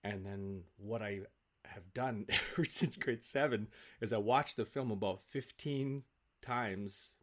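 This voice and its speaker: A man, probably middle-aged, telling anecdotes casually in an animated, self-deprecating, halting way as an interview guest. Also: on the low side. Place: home office, on a remote call.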